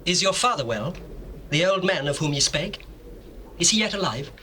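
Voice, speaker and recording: An imperious governor of ancient Egypt in a scripture drama. The voice is high and ringing, with sweeping intonation, declaiming archaic lines as commands. It sits on a noisy old cassette recording. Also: male voice, expressive, normal speed, bright, forceful tone. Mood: solemn, gracious. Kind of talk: dramatized scripture, stage acting.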